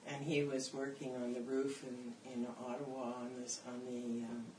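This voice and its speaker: An older woman from Canada shares family memories in casual conversation. She tells them with animation, repeating phrases for weight, at a medium pitch. She sounds set back from the microphone in an echoey room with clear background noise. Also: flat delivery, moderate pace, recorded from a distance. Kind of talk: casual reminiscing about family history.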